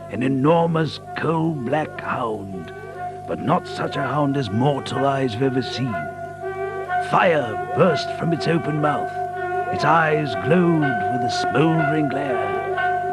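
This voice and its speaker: Earnest gentleman sidekick narrating a mystery radio play, grave n suspenseful, music behind him. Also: medium pitch, poor audio. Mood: ominous suspense.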